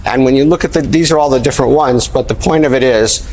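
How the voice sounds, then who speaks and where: A man's voice: medium pitch, quick pace, darkish tone, rough recording. A man explaining figures as an analyst on a news programme. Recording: clear background noise.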